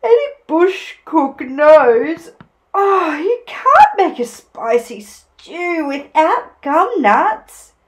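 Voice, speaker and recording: A woman plays a drowsy male koala from a storybook. He speaks high and slow, in a yawny drawl, as if only half awake. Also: big pitch swings, full character voice. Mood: sleepy. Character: sleepy, yawning male koala, bush cook.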